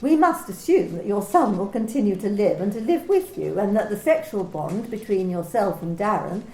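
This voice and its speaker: A woman's voice: mid-range voice, animated, moderate pace, a little mellow, roomy sound, close to an everyday voice, rough recording. A woman playing a cool, condescending company manager in an amateur radio play reading. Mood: cold. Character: cold corporate woman manager, clipped and controlling.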